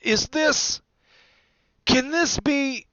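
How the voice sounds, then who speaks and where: Man putting on an awestruck old bartender, high and excitable. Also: smooth, dry recording, highs cut off, heavily acted. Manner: exclaiming, reverent, drawn-out. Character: old, bald, toothless, awestruck male bartender.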